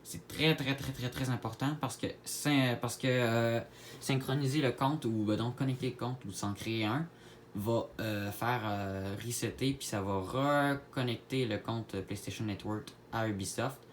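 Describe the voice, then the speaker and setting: A man with a Québécois French accent giving a casual tutorial to viewers, halting and repeating his words as he goes. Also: mid-range voice, fairly even intonation. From Quebec, Canada. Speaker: gaming video creator. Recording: clear background noise, home-made recording.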